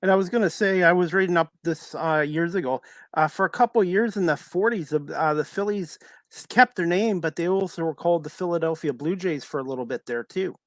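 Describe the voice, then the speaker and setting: A man in his forties hosting a casual sports talk show, speaking in a halting but keen fan's manner. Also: fairly high pitch, no echo. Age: 40s.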